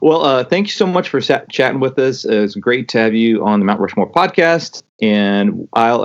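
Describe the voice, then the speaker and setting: A man hosting a podcast, talking eagerly with many restarts and stumbles in a casual interview. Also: on the low side. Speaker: podcast host. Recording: dull lo-fi sound.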